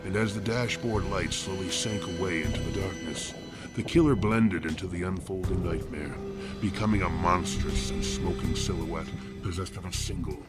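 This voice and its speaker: A very low-voiced, brooding male killer, played by an actor, narrates a horror audio drama. His delivery is ornate, grave and matter-of-fact, with an eerie air. Loud dramatic music runs beneath the voice. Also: normal speed, close to an everyday voice. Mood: grave, ominous. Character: brooding, eerie male artist-killer recounting a nightmare journey. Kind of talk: scripted horror fiction narration.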